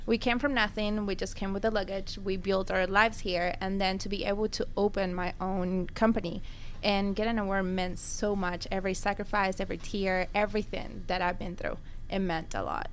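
A woman's voice: mid-range voice, steady tone, moderate pace. Heartfelt woman from Peru, faint background noise. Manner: heartfelt, emphatic, repeats for emphasis. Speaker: interview guest, bakery owner. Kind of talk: personal, conversational storytelling.

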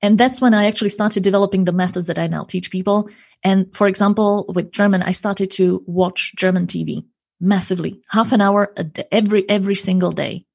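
Gravelly, husky-voiced woman explaining. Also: medium pitch, fairly even intonation, fairly quick, darkish tone. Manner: earnest, explanatory, tag questions. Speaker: language coach and interpreter. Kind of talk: answering interview questions.

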